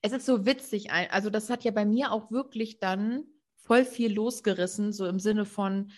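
A woman's voice: medium pitch, moderate pace. A woman co-hosting a podcast, telling a story in a rambling, chatty way and often adding tag questions. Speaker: podcast co-host. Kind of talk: casual storytelling chat.

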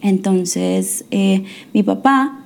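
Soft-spoken young woman telling her story. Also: medium pitch, drawn-out words, creaky. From United States. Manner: hesitant, many fillers and restarts. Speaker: guest. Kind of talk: testimony interview.

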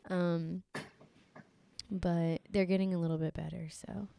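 A young woman co-hosting a podcast in casual chat, a little congested from allergies, chatty and quick to laugh. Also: fairly low pitch, level tone, gravelly, mellow, smooth, dry recording. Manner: chatty, laughs often, lots of fillers. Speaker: podcast co-host.

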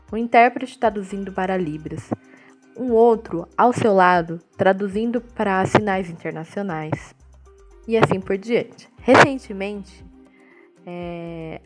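A woman giving interview answers in a measured, steady, explanatory way, voicing them in translation. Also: medium pitch.

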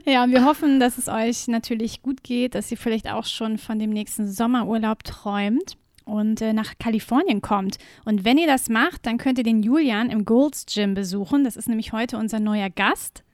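A woman's voice: on the high side, normal speed, a little mellow, no echo, clean studio sound. A German woman co-hosting a podcast, chatting casually with her co-host and often using fillers and laughing. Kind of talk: casual chat. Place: home room with windows closed.

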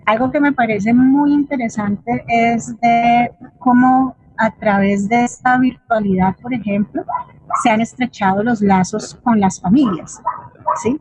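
Colombian woman explaining, slightly husky higher voice. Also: a little mellow, no echo, low-quality recording. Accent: Colombian Spanish. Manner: explanatory, hesitant, often adds checking tag questions. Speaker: theatre teacher and playwright, interviewed guest. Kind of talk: reflective spoken answer in an online forum.

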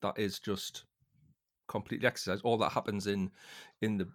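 A man hosting a casual book discussion, speaking in a thoughtful, hesitant way with halting phrases. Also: lowish voice, steady tone.

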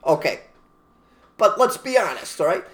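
A man's voice: sits high, big pitch swings, moderate pace, a bit bright. A man co-hosting a casual talk show, ranting emphatically and mimicking people in put-on voices. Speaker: podcast co-host. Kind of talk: opinionated casual chat.

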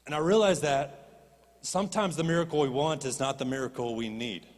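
A man preaching from a church stage, his voice sitting a little high for a man. He is animated and swings up into impassioned pleading. His words carry a long hall reverb. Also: fairly quick. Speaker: pastor. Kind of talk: sermon.

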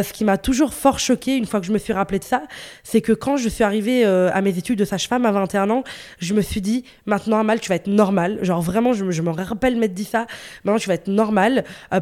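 A woman's voice: medium pitch, darkish tone. A woman in her late twenties from northern Italy, a guest chatting casually and bubbly in an interview, laughing easily and full of fillers. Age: 20s.

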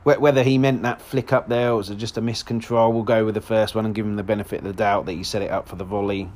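A man with a hoarse voice, hosting a solo football podcast in a rambling, casual way with lots of restarts. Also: fairly low pitch, fairly even intonation, fast talker, darkish tone. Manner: rambling, frequent restarts and fillers.

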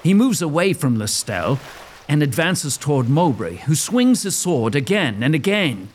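A man narrates a dramatic scripted tale in a fairly high, very expressive voice. His delivery is theatrical and suspenseful, over faint background noise. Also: normal speed.

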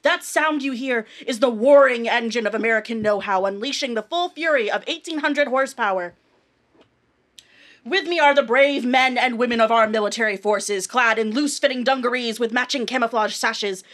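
A woman's voice: on the high side, normal speed, fairly bright tone, close to an everyday voice. A woman playing an earnest, florid war correspondent reporting dramatically in a radio play. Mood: earnest, dramatic. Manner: grandiose, dramatic.

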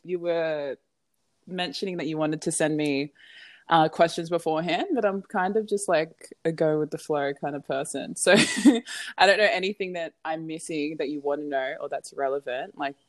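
A young Australian woman with a notably deep voice talks openly as a podcast guest, relaxed and go-with-the-flow, often pausing on "um" and "like". The recording is dry and close. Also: dry recording. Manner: easygoing, laughs often, hesitant fillers. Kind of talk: casual interview chat.

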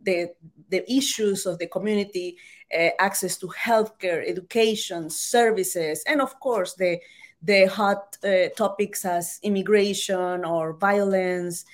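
Casting: Salvadoran-accented woman, Spanish native, hesitant interview guest, mid pitch. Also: fairly even intonation. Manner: halting, many restarts and fillers. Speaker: author and journalist guest.

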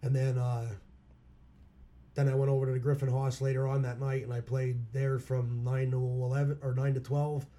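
A hoarse man from Pennsylvania, a local musician, telling stories about his past in a plain-spoken way. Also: medium pitch, little pitch movement, moderate pace, warm tone. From Schuylkill County, Pennsylvania. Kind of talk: casual interview chat.

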